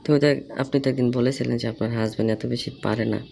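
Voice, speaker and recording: Male, mid-pitched, brisk and probing, casual phone chat, slightly noisy recording. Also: fairly even intonation, vocal fry, warm tone. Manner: probing, questioning at length. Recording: phone call recording with faint noise.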